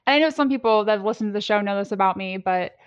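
A middle-aged woman hosting a podcast, chatting in a relaxed way and asking open questions. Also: mid-range voice, racing, smooth, no room sound, lo-fi sound. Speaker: podcast host. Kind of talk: conversational interview.

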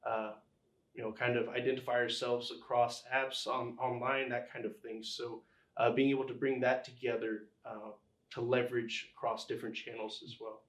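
A man answering interview questions as a podcast guest, explaining things conversationally and haltingly as he pieces thoughts together. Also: medium pitch, flat delivery. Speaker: podcast guest, engineering executive. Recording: roomy, echoing sound.

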